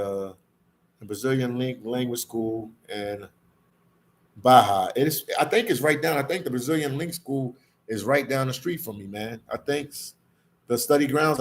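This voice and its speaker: A man hosting a casual livestream, chatting to viewers and reading their comments, with a slangy, repetitive way of talking that often addresses them as "man". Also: medium pitch. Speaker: live stream host reading viewer comments. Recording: clear background ambient noise.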